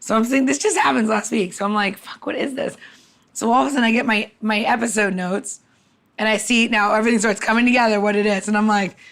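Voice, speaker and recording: A woman's voice: fairly high pitch, fast talker. A woman co-hosting a casual podcast, talking bluntly and acting out dialogue as she tells stories. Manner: blunt, salty, animated storytelling with quoted dialogue. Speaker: podcast co-host; cocktail maker. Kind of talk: casual podcast chat with anecdotes.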